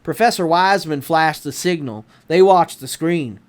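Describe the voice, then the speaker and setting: A man with a Southern US accent reading a children's story aloud expressively, with dramatic, deliberate emphasis. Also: a little high. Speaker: storybook narrator.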